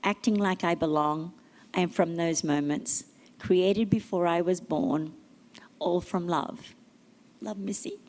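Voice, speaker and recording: A middle-aged woman with a low voice reads written tributes aloud at a memorial service. She takes it gently and with measured pauses between phrases. The hall adds a long, lingering reverb. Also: noisy background. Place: memorial service venue, large room.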